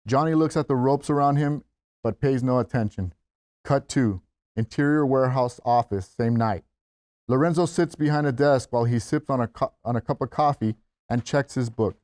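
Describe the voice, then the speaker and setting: A man's voice: mid-range voice, normal speed, darkish tone, dry recording, clean studio sound. A man reading stage directions as narrator at a script table read, in a scripted narration style.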